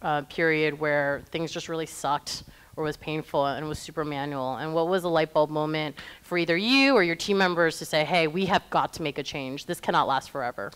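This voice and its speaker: A woman's voice: deep voice, a little fast, fairly bright tone, clear, resonant tone. A woman moderating a live panel discussion in an informal, encouraging way.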